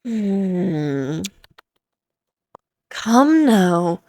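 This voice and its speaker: A woman plays an ancient vampire lady in a Victorian fantasy audio drama, speaking slowly and close in a very dry space. She is formal and theatrical, taunting her listener with amused, aristocratic self-assurance. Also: on the low side, big pitch swings, mellow, dry recording, heavily acted. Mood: taunting, conspiratorial.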